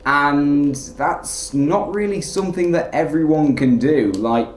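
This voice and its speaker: A man giving rambling, filler-heavy let's play commentary in a flat, monotone way. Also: fairly high pitch, takes it slowly, roomy sound, a little hiss or hum. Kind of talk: casual gameplay commentary.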